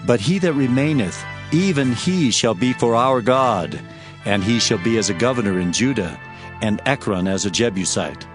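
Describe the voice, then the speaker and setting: A man's voice: medium pitch, lively intonation, moderate pace. A man narrating scripture dramatically in a solemn, declamatory manner. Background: music.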